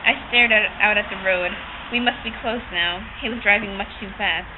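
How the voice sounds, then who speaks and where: A woman's voice: medium pitch, normal speed. A woman giving a theatrical, mock-dramatic reading of a novel as an amateur reader. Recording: tinny, band-limited, noisy amateur recording.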